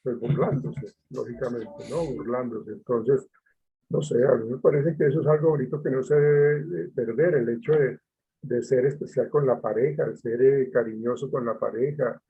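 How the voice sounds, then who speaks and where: A man's voice: mid-range voice, moderate pace, vocal fry, warm tone, highs cut off. A man, a teacher leading an online class discussion, hesitant with many fillers and repetitions.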